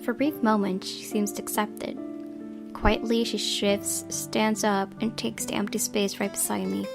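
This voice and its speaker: A woman reading a visual novel aloud as its player, in expressive storytelling narration. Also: mid-range voice, moderate pace, gravelly, over music.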